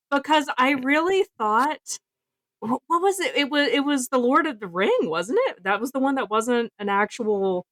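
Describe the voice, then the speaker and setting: A woman's voice: high-pitched, lively intonation, measured pace, long pauses, a bit bright, dry recording, studio quality. A woman co-hosting a podcast, telling anecdotes casually in a giggly, self-deprecating way. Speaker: podcast co-host.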